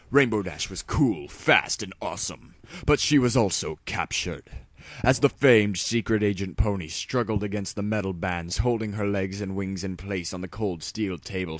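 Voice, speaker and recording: A man with a deep voice narrates a story in a dramatic audiobook style. The recording is dry, with very little room sound around the voice. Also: no echo.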